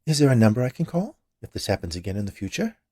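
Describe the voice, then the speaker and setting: A man's voice: medium pitch, sing-song, fast talker, dark tone, dry recording, clean studio sound. Man putting on a relentlessly cheerful candy shop woman. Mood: puzzled, insistent. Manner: annoyingly cheerful, rattling off lists. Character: cheerful chatty woman confectionery shopkeeper, naively argumentative.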